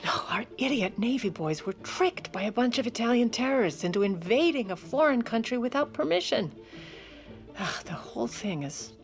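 A woman's voice: on the high side, expressive, moderate pace, faint music behind. Wry, scheming First Lady. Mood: wry, worried. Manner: dry, sardonic, conspiratorial. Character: wry, shrewd First Lady secretly steering the presidency. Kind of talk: audio drama acting.

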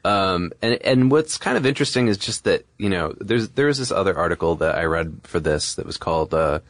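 Low-voiced man, podcast co-host. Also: animated, fairly quick, dry recording, studio quality. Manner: conversational, discursive. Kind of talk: casual explanatory podcast chat.

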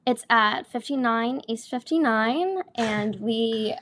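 Young woman, stage actor, interview guest, earnest, enthusiastic, restarts often, fairly high voice. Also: takes it slowly, fairly bright tone, slight background noise. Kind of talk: casual interview chat.

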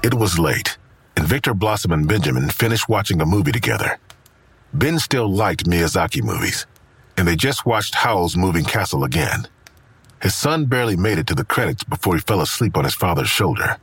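Deep male storyteller, really raspy husky voice, somber audio drama narration. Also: expressive, a little fast, a bit bright, no echo, full character voice. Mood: measured.

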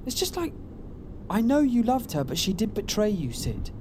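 A woman's voice: medium pitch, big pitch swings, normal speed, natural voice. A woman playing a loyal, anxious and wry relative of a fugitive in a naturalistic audio drama. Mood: concerned, sincere. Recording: noisy car ambience.

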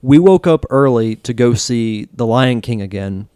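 A man's voice: medium pitch, lively intonation, a little slow, dark tone, smooth, dry recording, clean studio sound. A man co-hosting a podcast in casual chat, easygoing and telling stories. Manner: easygoing, storytelling. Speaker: podcast co-host.

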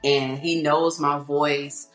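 A woman with a low alto voice, telling stories in a reflective way and peppering her talk with "you know". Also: flat delivery, takes it slowly, echoey room, faint music behind. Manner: warm, reflective storytelling with many "you know"s. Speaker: guest singer. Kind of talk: casual interview talk.

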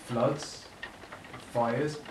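A man's voice: mid-range voice, fairly even intonation, extremely slow. Man acting earnest father, reflective, speech-making, audio play, distant microphone, roomy sound. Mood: anxious. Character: earnest father and official facing climate upheaval, anxious yet hopeful. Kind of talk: naturalistic audio drama monologue. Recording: roomy, distant, noisy room recording.

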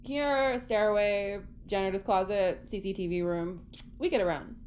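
An actress playing a sarcastic, starstruck young woman, a blunt survivor with a deadpan, snarky delivery in an audio drama. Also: medium pitch, unhurried, a little hiss or hum, natural voice. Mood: blunt, wary.

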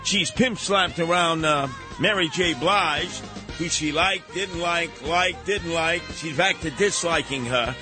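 A man hosting a radio talk show, emphatic and theatrical, repeating words for effect in an animated, opinionated style. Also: fairly high pitch, takes it slowly, music in the background.

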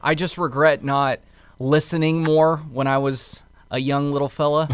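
A man's voice: on the high side, fairly even intonation, measured pace, clean tone, dry recording. An American man co-hosting a podcast, chatting in an informal, slangy way. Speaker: podcast co-host. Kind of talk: casual chat.

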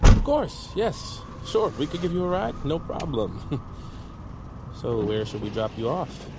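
Highish-voiced anxious American guy, thirty. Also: sing-song, unhurried, very noisy. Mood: nervous, apologetic. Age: 30s. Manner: nervous, rambling, apologetic. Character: anxious, restless thirty-year-old slacker, earnest and apologetic. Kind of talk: naturalistic audio fiction dialogue.

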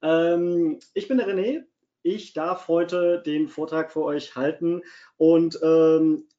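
A fairly high-voiced man from Cologne with a faint Cologne tinge presents a live webinar from his office. He talks in a chatty way, with plenty of ums and doubled words for emphasis. The sound is muffled and lo-fi, like audio from a video call. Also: steady tone, slow, warm tone. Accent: slight Cologne tinge. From Germany, Cologne. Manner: chatty, many fillers, repeats words for emphasis. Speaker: webinar presenter, SEO consultant. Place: home office.

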